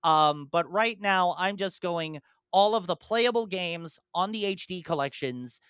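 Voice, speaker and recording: A man's voice: high-pitched, animated, a little slow, a bit bright, clear, resonant tone, dry recording. A young man and podcast co-host chatting casually about video games, rambling through detailed explanations with many ums and restarts.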